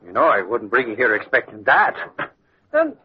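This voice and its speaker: An actor playing an excitable, blustering Irish newspaperman and genial moocher in a bluff, folksy, hemming radio-drama style. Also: mid-range voice, normal speed, husky. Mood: sheepish bluster. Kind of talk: 1940s radio acting. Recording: muffled old radio recording.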